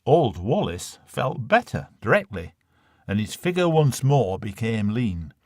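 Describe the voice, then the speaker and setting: A man's voice: medium pitch, no echo, studio quality. A man with a Northern English accent reading a comic dialect monologue in verse as a dramatic recital. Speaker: poetry reader.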